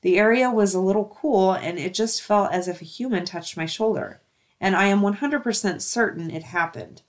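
A woman hosting a show, reading listener-submitted stories aloud in a casual, chatty way. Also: medium pitch.

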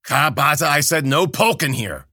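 A man's voice: mid-range voice, big pitch swings, a little slow, sharp tone, no room sound, studio quality, voice pushed up, exaggerated voice. A man voicing a stern old woman healer who scolds sharply. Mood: angry.

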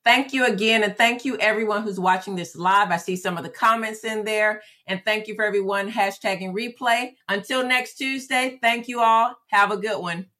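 A woman's voice: medium pitch, fairly even intonation, moderate pace, few pauses, sharp tone. A woman hosting a casual live podcast, encouraging and full of thanks to her guest. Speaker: podcast host.

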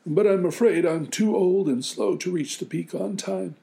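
A kindly old grandfather from a storybook, acted out by a man. He sounds frail but eager, and his voice rises well above a normal low narration. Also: normal speed, gravelly, mellow. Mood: willing, regretful.

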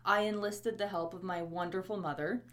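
A woman's voice: medium pitch, fairly even intonation, brisk. A woman originally from Bosnia, whose first language is not English, co-hosting a casual mystery podcast in a chatty, enthusiastic way. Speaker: podcast co-host. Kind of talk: casual chat.

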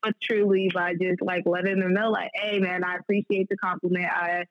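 A woman's voice: on the low side, level tone, normal speed, dry recording, dull audio. A woman speaking candidly and slangily as a guest sports reporter in a casual podcast conversation.

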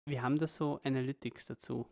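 A man co-hosting a relaxed parenting podcast. His middle-pitched voice has a gravelly, creaky edge. He thinks out loud, circling back and restarting his sentences. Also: fast talker. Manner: reflective, rambling, many restarts. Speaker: podcast co-host. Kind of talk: casual parenting chat.

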